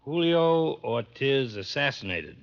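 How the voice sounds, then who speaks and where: An actor playing a plain, businesslike police lieutenant in an old-time radio detective drama. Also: male voice, mid-range voice, sing-song, slow pace, vocal fry, exaggerated voice. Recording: muffled old radio recording.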